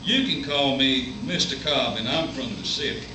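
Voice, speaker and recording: Guy playing a proud city man, drawls slow, deadpan, noisy distant room. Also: mid-range voice. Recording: echoey live room, mic far off, noisy.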